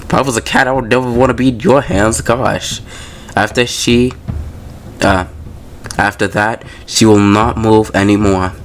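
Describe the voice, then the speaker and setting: A man's voice: lowish voice, fairly even intonation, measured pace, slight background noise. A man hosting a gaming video, casually commenting and reading story text aloud in an informal, slangy way.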